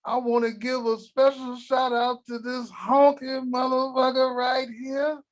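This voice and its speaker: An actor at a table read playing a boastful, crude, newly rich grandfather, talking in a slow drawl. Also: very high-pitched, level tone.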